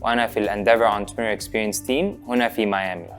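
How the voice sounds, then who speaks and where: A man from Kuwait giving a brisk, friendly introduction in a promotional video. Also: on the low side, fairly even intonation, fairly bright tone, soft background music. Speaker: startup team member.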